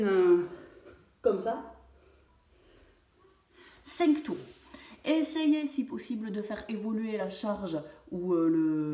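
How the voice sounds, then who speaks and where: A French fitness coach talking through a home workout, with many hesitations and fillers. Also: female voice, mid-range voice, dark tone. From France. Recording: echoey room, voice far from mic.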